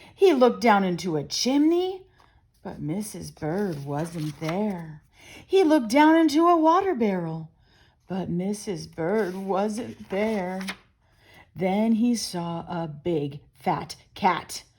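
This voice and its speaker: A middle-aged woman reading a children's picture book aloud as storyteller, expressive and deliberate. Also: medium pitch. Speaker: storyteller and channel host.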